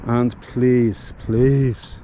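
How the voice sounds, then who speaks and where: A man's voice: mid-range voice, level tone, extremely slow, lo-fi sound, noisy background. A man with a Dublin accent, talking casually and from the heart as he vlogs outdoors, with lots of fillers and restarts. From Ireland. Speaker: vlogger. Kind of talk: casual vlog commentary.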